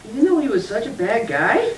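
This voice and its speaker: A woman's voice: a little high, very animated, a little fast, a little hiss or hum. A woman voicing Scooter, a short young boy puppet who feels sorry for what he has done, asking eager, wide-eyed questions in a church puppet show. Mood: amazed. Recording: echoey room, distant mic.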